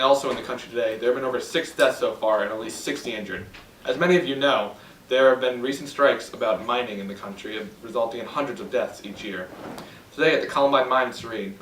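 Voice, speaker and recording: A man's voice: medium pitch, fast talker, a bit bright. A young man reading a scripted amateur radio news report as the announcer. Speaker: news announcer in a student project. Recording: echoey, distant mic, faint noise.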